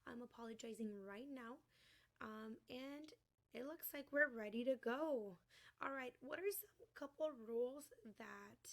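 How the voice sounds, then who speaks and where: Hoarse teacher coaxing young kids. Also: female voice, fairly high pitch. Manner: sing-song, encouraging teacher talk to small children. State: losing her voice. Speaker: kindergarten teacher. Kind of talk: online class lesson.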